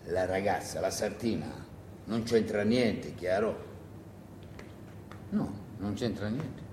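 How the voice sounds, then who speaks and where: A male stage actor plays an earnest villager. He speaks in a confiding, insistent way. The room echoes strongly, with a faint noisy rumble. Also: slow pace, a little mellow. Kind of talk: solo theatre monologue.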